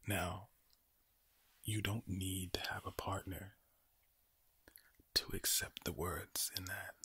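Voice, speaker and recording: A man with an Irish accent giving soothing ASMR affirmations in a low, breathy, gravelly whisper, slowly and deliberately, repeating his phrases. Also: little pitch movement, bright, forceful tone, no room sound. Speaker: ASMR creator.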